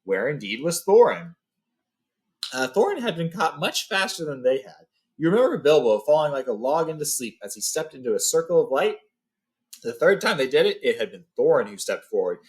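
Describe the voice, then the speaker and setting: A man with a medium-pitched voice hosts a book read-along. He moves between reading a fantasy tale as narrator and recapping it informally in his own words. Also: very animated, a little fast.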